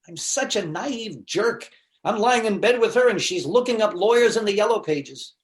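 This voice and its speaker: A man playing a neurotic, self-pitying jilted husband in a stage comedy reading, meek and halting. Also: high voice, expressive. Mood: mournful, self-pitying. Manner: self-deprecating, halting, stammering. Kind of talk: stage comedy readers theater.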